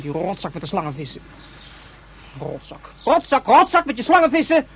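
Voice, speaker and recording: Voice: mid-range voice, slight background noise, close to an everyday voice. An actor in a radio play playing a petulant, chattering child who speaks emphatically and childishly. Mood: indignant, sulky, then furious. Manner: childish, emphatic, repeats pet intensifiers. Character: petulant, chattering child, hot-tempered and self-important.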